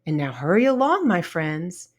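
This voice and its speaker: A woman voicing a kindly, soft-spoken old male stag beetle in a children's storybook read-aloud. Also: on the low side, big pitch swings, normal speed. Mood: gentle, reassuring. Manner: gentle, soft-spoken, grandfatherly.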